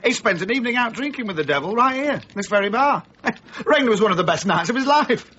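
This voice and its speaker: A blunt, boastful village drinker in a radio play, with a gossipy manner. His voice is high, bright and very lively. The sound is a little muffled. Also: quick pace, slight background noise. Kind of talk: BBC radio play acting. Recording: slightly dull radio broadcast.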